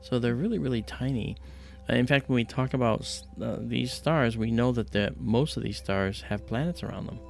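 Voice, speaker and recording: A man hosting a casual livestream, his deep voice heavy with creak and fry. He explains things conversationally and tells stories in an animated way, quoting dialogue as he goes. Music plays behind him. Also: normal speed. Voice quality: deep voice from a paralyzed vocal cord. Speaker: livestream host and astronomy presenter.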